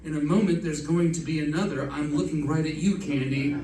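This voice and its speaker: A man's voice: fairly high pitch, fairly even intonation, brisk, mellow, lightly acted. A man playing a fussy, exasperated, theatrical sidekick in an old-time radio mystery, huffy and melodramatic. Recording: roomy stage recording, distant mic.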